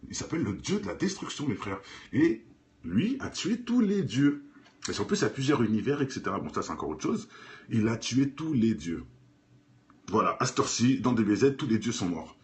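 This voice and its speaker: A man's voice: mid-range voice, big pitch swings, a little fast, a little mellow. A man giving casual, improvised commentary in informal, slangy speech, addressing viewers directly and repeating phrases insistently for emphasis. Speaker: online video commentator. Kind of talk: casual improvised commentary on cartoons and conspiracy theories. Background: music.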